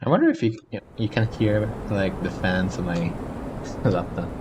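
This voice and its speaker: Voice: deep voice, expressive, normal speed, background noise. A gamer giving casual let's play commentary in offhand, muttered asides with swearing. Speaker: gamer, video creator. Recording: poor audio, loud game music behind.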